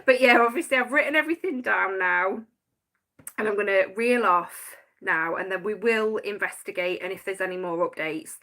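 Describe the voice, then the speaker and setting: A woman's voice: medium pitch, moderate pace, pushed and bright. A woman, chatting casually on a live stream about her notes and laughing often. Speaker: paranormal channel host.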